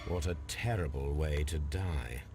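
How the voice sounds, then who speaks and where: An actor playing a composed Victorian gentleman detective in a video game, with an English accent and a measured, precise delivery. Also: very deep voice, music in the background. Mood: musing, observant. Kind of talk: video game dramatic acting. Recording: clear background noise and ambience.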